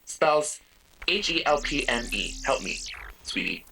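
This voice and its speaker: A man bantering as a comic podcast guest in a dramatic, halting, campy way, on a noisy remote mic. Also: a little high, very animated, gravelly, far from the mic, over music.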